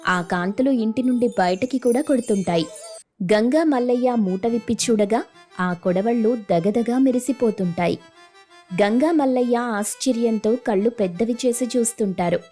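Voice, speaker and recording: A woman reads scripted narration for an animated moral tale. Her voice is mellow and a bit high. Light music plays under her storytelling. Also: moderate pace.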